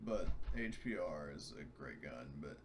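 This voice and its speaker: A man's voice: a bass voice, animated, moderate pace. A man in casual, sweary chat as a tabletop game player, with noticeable background noise on the microphone.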